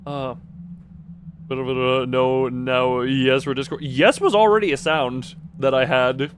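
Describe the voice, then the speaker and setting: A man's voice: medium pitch, fairly even intonation, unhurried, slight background noise. A man live streaming a game, chatting casually with viewers in a rambling, exclamatory way, often restarting and rephrasing his sentences. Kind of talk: casual gaming commentary and chat with viewers.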